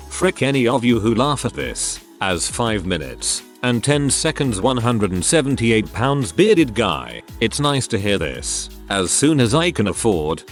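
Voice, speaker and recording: A man reading out online stories as a narrator, in a flat read with oddly placed pauses and stresses. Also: on the low side. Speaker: narrator of reddit posts.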